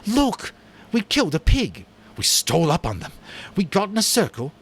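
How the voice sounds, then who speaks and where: A proud, excited boy hunter leader bursts out high and loud, as a man puts him on. His voice jumps about, eager and boastful, in a storybook reading. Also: normal speed, slight background noise, heavily acted.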